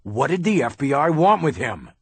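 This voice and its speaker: A man voicing a gruff, tough middle-aged police detective who talks bluntly and curses. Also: on the low side, sing-song, normal speed, dry recording, full character voice. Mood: angry. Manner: blunt, curses, barks orders. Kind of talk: audiobook character reading.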